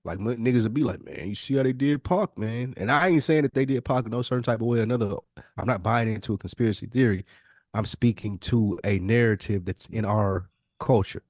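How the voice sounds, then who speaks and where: A man's voice: fairly low pitch, fairly quick, a little mellow, no echo. Guy on a podcast arguing his point, slangy, cusses, repeats stuff for emphasis, dry close sound. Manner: slangy, profane. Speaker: podcast speaker. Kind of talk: opinionated casual conversation.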